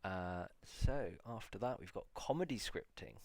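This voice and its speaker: A man with a low, gravelly voice reflects aloud in a relaxed solo video. He reads out titles slowly and lingers on each one with hums and pauses. The recording is close and dry, with no room sound. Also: dry recording. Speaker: video blogger.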